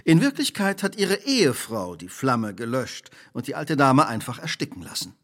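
An actor playing a shrewd, relentless detective in a radio drama, speaking precisely, formally and theatrically. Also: male voice, medium pitch, big pitch swings, moderate pace, a bit bright. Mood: grave, revealing. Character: shrewd, relentless male detective laying out a crime.

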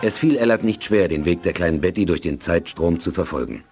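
Storyteller, deep pitch, scripted radio drama narration, articulate, measured, soft music underneath. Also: male voice. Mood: composed. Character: composed male storyteller of a science-fiction saga. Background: faint music.

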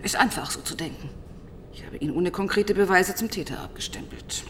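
An actress playing a sharp-tongued, impatient police inspector who narrates a radio crime drama in a brusque, sardonic manner. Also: female voice, on the low side, fairly quick, a little hiss or hum, close to an everyday voice. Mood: dry, troubled. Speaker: narrator and lead character.

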